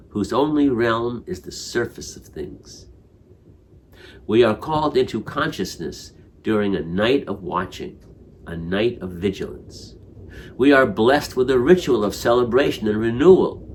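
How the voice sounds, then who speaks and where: A man reading a spiritual commentary aloud in a measured, reflective way. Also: on the low side, slight background noise. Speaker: reader of Torah commentary.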